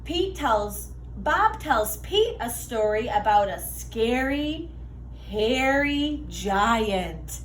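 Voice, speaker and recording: A woman reading a children's picture book aloud in an animated, deliberate way for young listeners. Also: fairly high pitch, echoey room, a little hiss or hum, poor audio. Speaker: read-aloud storyteller for children.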